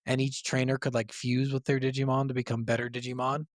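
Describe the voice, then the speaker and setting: A man's voice: medium pitch, little pitch movement, quick pace, no room sound, studio quality. A man co-hosting a podcast, talking casually and enthusiastically, with slangy speech and frequent swearing. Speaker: podcast co-host. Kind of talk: casual gaming podcast chat.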